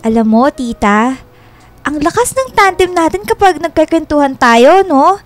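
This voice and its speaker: A woman's voice: sits high, sing-song, moderate pace. A young woman playing a sweet, affectionate girlfriend in a radio drama, tender, respectful and playfully teasing. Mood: cheerful, caring. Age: young adult. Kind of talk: Filipino radio drama acting.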